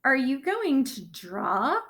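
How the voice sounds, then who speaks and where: This is a woman playing a friendly little girl from a picture book. The voice is lifted and very expressive in pitch, forthright and full of curiosity. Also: slow pace, full character voice. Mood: curious, friendly. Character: friendly, curious young girl, new neighbor.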